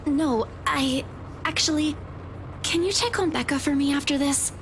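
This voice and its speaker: A woman playing a worried, guarded young woman who is a loyal, gentle friend in a visual novel game. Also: high-pitched, moderate pace, slight background noise.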